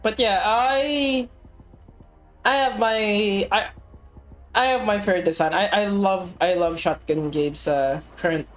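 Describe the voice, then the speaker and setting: A woman from the Philippines streaming a game, chatting casually and getting excitable as she plays. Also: mid-range voice, measured pace, rough recording. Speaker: VTuber streamer. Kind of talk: casual gaming chat. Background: game music.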